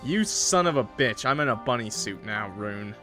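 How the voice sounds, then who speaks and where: A man streaming a visual novel, switching between dramatic narration and animated, jokey, sweary commentary. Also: mid-range voice, normal speed, over music.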